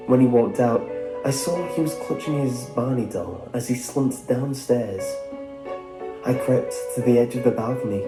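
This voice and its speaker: A man reading a scripted first-person horror story as its narrator. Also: mid-range voice. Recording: roomy echo under music. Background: music.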